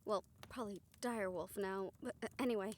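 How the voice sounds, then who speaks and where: A woman's voice: medium pitch, a little fast, slight background noise, lightly acted. A woman acting a good-natured young spellcaster in an audio roleplay, talking in a casual, folksy, wry way. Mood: earnest.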